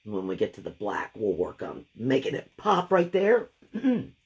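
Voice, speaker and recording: Deep, gravelly-voiced woman painting live. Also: wildly swooping pitch, a little slow, darkish tone. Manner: chatty, thinks aloud while working. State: lingering gravelly voice after a cold. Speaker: craft painting host. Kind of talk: casual live painting demo.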